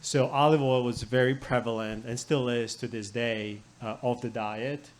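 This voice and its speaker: A man from Croatia, a patient guest on a health panel, telling his story briskly and matter-of-factly. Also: medium pitch, fairly even intonation.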